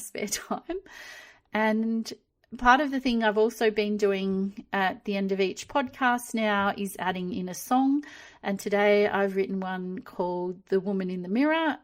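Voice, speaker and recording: Woman in her fifties, podcast host, candid, easy laugh, middle-pitched voice. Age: 50s. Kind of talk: reflective solo podcast talk.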